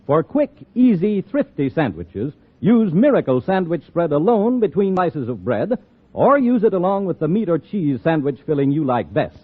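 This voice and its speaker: A man's voice: a little high, big pitch swings, normal speed. An American man reading a scripted 1950s radio advertisement as a commercial announcer. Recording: tinny old radio broadcast.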